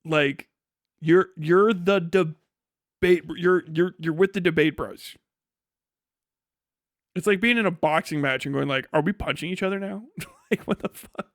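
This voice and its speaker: A man's voice: fairly high pitch, measured pace, pauses often, no echo, clean studio sound. A man giving casual, opinionated livestream commentary in a blunt, sarcastic and profane way. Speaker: livestream host reacting to a debate video.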